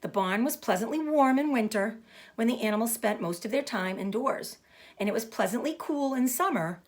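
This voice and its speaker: A woman reading a children's book aloud as a storyteller, with deliberate phrasing meant for young listeners. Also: mid-range voice. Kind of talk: expressive children's book narration.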